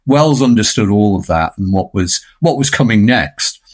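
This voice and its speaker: A man's voice: fairly low pitch, lively intonation, normal speed. A man speaking as a podcast guest, explaining media history in an emphatic, discursive way.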